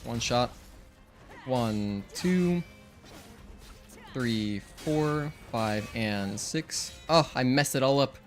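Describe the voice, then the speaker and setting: A young man whose first language is Spanish talks casually through his gameplay, thinking out loud and counting off combo hits. His voice sits at a middling pitch. Faint music plays behind him. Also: animated, extremely slow, pauses often. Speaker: streamer.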